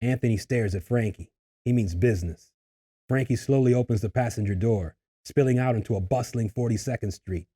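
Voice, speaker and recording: A man reading screenplay stage directions in a husky voice, as scripted narration at a table read. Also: mid-range voice, a little fast, warm tone.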